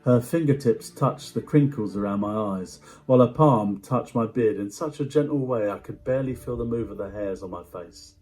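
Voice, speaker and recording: A man giving a dramatic first-person story reading in a hushed, measured way with deliberate pauses. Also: lowish voice, rough recording. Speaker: amateur actor performing a story reading.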